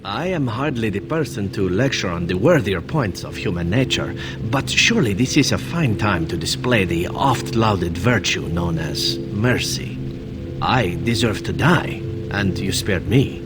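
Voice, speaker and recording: A suave assassin, speaks in a lowish voice with wry, formal eloquence. He sounds like a reflective companion in a fantasy game, with loud music behind him. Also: expressive, a little slow. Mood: earnest reproach.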